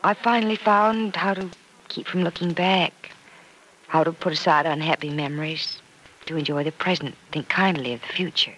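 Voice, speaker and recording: An actress playing a warm, earnest young woman in an old radio soap opera, speaking with sighs, hesitations and small exclamations. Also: sits low, normal speed. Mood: hopeful. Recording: noisy vintage radio drama recording.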